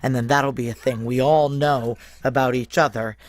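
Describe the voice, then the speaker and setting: A woman's voice: extremely low pitch, fairly even intonation, normal speed, slight background noise. An actress playing a tough, profane female security chief, narrating and acting in a noir audio drama in a wry, blunt, conversational way. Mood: anxious, racing thoughts.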